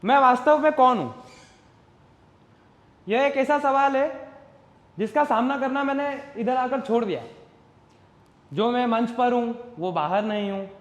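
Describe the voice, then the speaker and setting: A man performing a stage monologue as an uncertain, philosophical common man, speaking deliberately and reflectively straight to the audience. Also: very high-pitched, dull audio. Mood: searching, resigned. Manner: deliberate, reflective, rhetorical, addressing the audience directly. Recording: roomy echo with long reverb.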